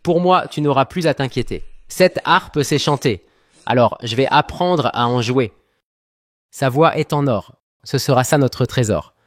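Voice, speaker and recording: A man's voice: medium pitch, unhurried, no echo, studio quality, natural voice. A man playing an earnest, hopeful young man in a fairy tale cartoon, speaking in declamatory rhyme. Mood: hopeful, determined.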